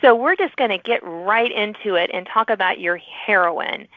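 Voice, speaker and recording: A woman's voice: mid-range voice, expressive, normal speed, dry recording. A woman hosting a podcast, interviewing guests in a conversational way. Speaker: podcast host interviewing authors. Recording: thin phone-like line.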